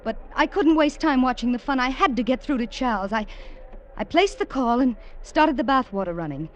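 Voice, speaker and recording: A woman's voice: high voice, expressive, fairly quick, dull audio. An actress playing a young woman on the run who narrates her ordeal in a 1950s radio drama, earnest and stammering under tension. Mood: tense narration. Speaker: narrator and heroine. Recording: hissy old radio recording.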